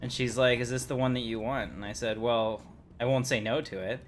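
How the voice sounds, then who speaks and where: A man's voice: mid-range voice, level tone, brisk, slight background noise. A man streaming a game, talking casually in a rambling, informal way. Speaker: game livestreamer. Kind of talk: casual livestream chat and commentary.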